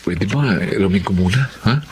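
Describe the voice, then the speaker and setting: A man's voice: low-pitched, animated, normal speed, darkish tone, slight background noise. A man acting a hesitant, coaxing young husband in a Filipino radio drama. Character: Fernan, placating young husband soft on his family.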